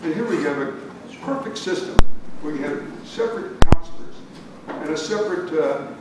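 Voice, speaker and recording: Moderator in a noisy, echoing hall. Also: male voice, fairly high pitch, very animated, moderate pace. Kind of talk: debate moderation and explaining. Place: public meeting hall. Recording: echoey hall, distant mic, loud noise.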